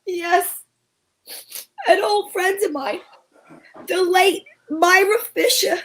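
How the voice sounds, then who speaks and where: A woman playing a brisk, bossy, wry female detective who narrates her case in old-time radio drama style. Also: extremely high pitch, fairly bright tone, full character voice, low-quality recording. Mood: grave. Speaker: narrator and lead actor.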